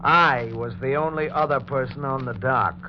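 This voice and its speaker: An actor playing a skeptical, earnest police lieutenant with a halting manner in a 1940s radio mystery. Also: male voice, medium pitch, lightly acted. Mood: skeptical, firm. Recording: dull, noisy 1940s radio recording.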